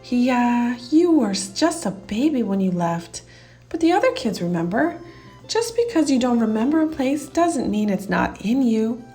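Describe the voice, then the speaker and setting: A woman's voice: fairly high pitch, very animated, normal speed, mellow, soft background music, pitched above the performer's own voice. A woman voicing a fond old grandmother who reminisces in a children's storybook. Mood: reassuring. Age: older. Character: warm old grandmother from a Caribbean island. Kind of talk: children's storybook character reading.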